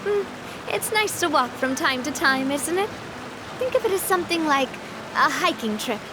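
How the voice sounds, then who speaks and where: Young gang leader, high voice, warm, decisive, faint ambience. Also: female voice, animated, normal speed, background noise. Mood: determined. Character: young woman boss of a gang, confident and caring. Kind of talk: video game voice acting.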